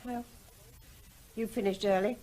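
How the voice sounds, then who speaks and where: An actress playing an unhappy, frustrated wife in a radio drama, earnest and insistent. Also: female voice, mid-range voice, fairly even intonation, a little slow, warm tone, lightly acted. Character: unhappy, frustrated wife pleading with her evasive husband.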